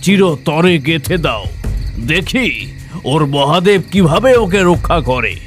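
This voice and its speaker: A man puts on a cruel, arrogant landlord for a horror audio drama. The voice is raised slightly high and very slow, commanding and full of mocking rage. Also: expressive, soft background music. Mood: furious, commanding.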